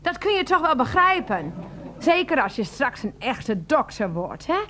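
An actress in a Dutch radio play voicing a doting, fussy older aunt who coos and reminisces. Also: female voice, high voice, big pitch swings, normal speed, a bit bright, a little hiss or hum. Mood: fussing, evasive. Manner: cooing, reminiscing.

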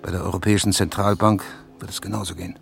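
A man's voice: low voice, little pitch movement, quick pace, gravelly. A man playing an intense, insistent investigative journalist who is hungry for an exclusive, in a radio drama. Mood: urgent warning. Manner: urgent, insistent, emphatic explaining. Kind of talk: German radio drama dialogue. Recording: music behind the dialogue.

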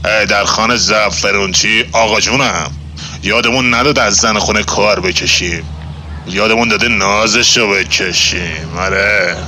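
Blustering old-Tehran tough guy, piercingly bright. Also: on the low side, slight background noise. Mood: flustered, apologetic. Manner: grumbling colloquial street talk, stammers when flustered. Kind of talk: nostalgic 1960s-set radio drama acting.

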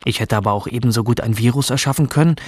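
A man's voice: mid-range voice, rapid-fire, natural voice. An actor playing an obsessive, rebellious male hacker from a virtual future world, narrating a radio drama in the first person. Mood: cynical, boastful. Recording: music under the voice.

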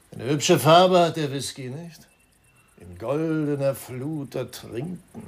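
An actor playing a troubled, hard-drinking writer in a German radio crime drama, brooding and sardonic, speaking haltingly with a creaky voice. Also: male voice, a little high, exaggerated voice.

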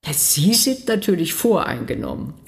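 A woman's voice: fairly low pitch, sing-song, normal speed, creaky. A woman acting in a radio play as a sceptical questioner with a composed, pointed way of talking. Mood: challenging. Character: sceptical, probing woman challenging a man's account.

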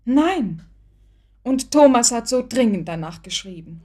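A woman's voice: fairly high pitch, expressive, a little slow, warm tone. An actress playing an earnest, trusting young woman in love in a German radio play, with polite and emphatic speech. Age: young adult.

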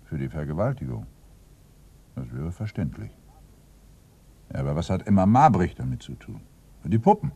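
Radio mystery: dogged, brooding police inspector, weary and laconic, deep husky voice. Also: male voice, very animated, normal speed, long pauses, dark tone, exaggerated voice. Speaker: actor in a radio crime drama. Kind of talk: German radio play acting.

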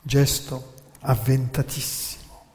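A man from Italy calmly narrating a historical story on the radio, dropping low at the ends of phrases. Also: takes it slowly. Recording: radio broadcast with long reverb.

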